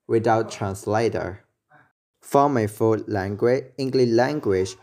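A man's voice: fairly low pitch, a little mellow, slight background noise. A young man from Myanmar with a Mon accent, giving a slide presentation in simple learner's English. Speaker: student presenter.

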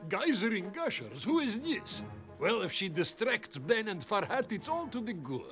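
A man's voice: sits high, very animated, normal speed, a bit bright, soft background music. A man voicing a scheming, fawning little villainous creature in a cartoon, theatrical and obsequious. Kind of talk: cartoon voice acting.

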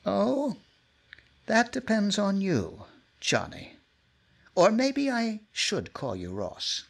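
A man playing a gravelly, gloating blackmailer. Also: high-pitched, wildly swooping pitch, full character voice. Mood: menacing. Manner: taunting, drawn-out delivery. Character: sly gloating male blackmailer, middle-aged. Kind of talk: old-time radio drama recreation.

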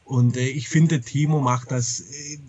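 A man's voice: medium pitch, fairly even intonation, measured pace, a little mellow, slightly rough, dry recording. Slightly muffled man chatting as interview guest. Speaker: comics and audio drama publisher, interview guest. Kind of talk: casual interview chat.

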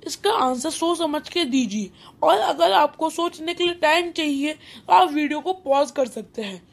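A woman's voice: high voice, expressive, normal speed, vocal fry, a little hiss or hum. A woman voicing an interviewer who poses riddles in a children's cartoon quiz. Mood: quizzing, composed. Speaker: quiz host.

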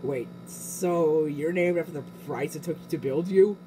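A man's voice: on the high side, unhurried, dark tone, a little hiss or hum, natural voice, poor audio. A man playing a snarky, reluctant dockworker lad, blunt and playful, in an amateur cartoon parody. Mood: playful, teasing.

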